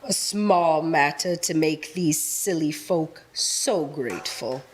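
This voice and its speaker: A woman acting as a composed, probing spirit guide in a live radio play, speaking in a measured way. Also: sits low. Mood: calm, probing. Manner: measured storytelling and questioning.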